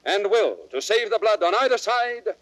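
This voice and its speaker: A gallant young prince in a Shakespearean audio drama. His voice is a bit high for a man, and he declaims with courteous, formal earnestness. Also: lively intonation, moderate pace. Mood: bold challenge. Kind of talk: classical Shakespearean radio drama acting.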